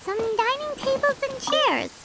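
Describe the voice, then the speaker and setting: A woman voicing a small, excitable, cheeky childlike fairy companion in a video game, with a squeaky voice and exclamatory delivery. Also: a little slow, creaky, very noisy, heavily acted. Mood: excited. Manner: exclamatory, speaks of self in third person.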